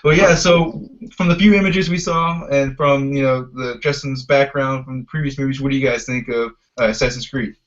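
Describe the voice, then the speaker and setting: Man, medium pitch, podcast host, casual film chat, lo-fi dulled audio. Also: fairly even intonation, normal speed, few pauses. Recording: dull lo-fi audio.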